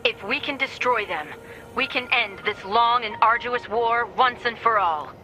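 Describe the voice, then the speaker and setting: Bright, forceful military commander. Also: female voice, a little high, a little slow, slight background noise, low-quality recording. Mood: grave, resolute. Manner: formal, commanding address. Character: authoritative, stern female military commander. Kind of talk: video game voice acting.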